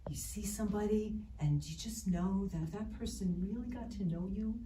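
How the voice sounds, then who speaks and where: A woman acting a shy, lonely young woman in a monologue, confessing in a halting, earnest, nervous way, recorded from a distance in a roomy space. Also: mid-range voice, warm tone, slight background noise, natural voice. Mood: wistful. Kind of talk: rehearsed film monologue in an acting class.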